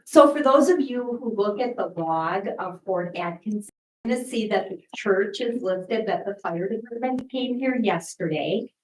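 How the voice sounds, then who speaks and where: A woman's voice: medium pitch, lively intonation, a little slow, slight background noise. A woman, explaining a practical update to a congregation in a church sanctuary. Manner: matter-of-fact, explanatory. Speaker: church member giving an update. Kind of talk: announcement to a congregation. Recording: roomy church sanctuary from a distance.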